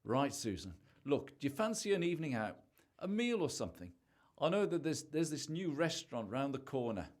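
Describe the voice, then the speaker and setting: A man's voice: a little high, very animated, a little fast. A man in an amateur radio play acting a defensive, sarcastic husband who is hiding something. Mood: placating. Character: evasive, irritable husband hiding a secret.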